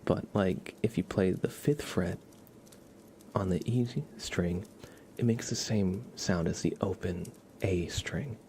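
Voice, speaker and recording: A man speaking softly in ASMR style, partly whispering, as he casually explains things to a live stream in a gentle, halting way. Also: deep voice, a little hiss or hum.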